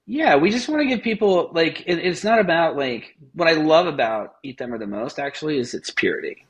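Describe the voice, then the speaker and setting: A man, a founder guesting on a podcast, talking in an animated, rambling, casual way and swearing now and then. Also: on the high side, a little fast, lo-fi sound.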